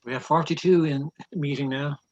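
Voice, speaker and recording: A man's voice: fairly high pitch, steady tone, a little fast, a little mellow, dry recording. A man on an online meeting, giving brief practical updates about the meeting. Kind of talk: casual chat. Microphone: video call. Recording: dry, muffled video call audio.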